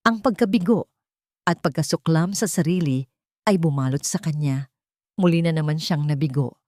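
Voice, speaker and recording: A woman's voice: fairly low pitch, darkish tone, no echo, clean studio sound. A woman narrating a scripted dramatic romance story in an emotive storytelling manner.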